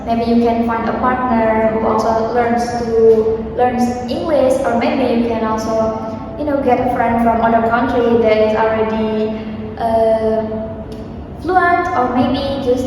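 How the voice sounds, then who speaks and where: A woman's voice: a little high, fairly even intonation, unhurried, a little mellow. A young woman from Indonesia with an Indonesian accent, chatting casually as an interview guest, with lots of fillers and frequent laughs. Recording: echoey room from far off, noisy.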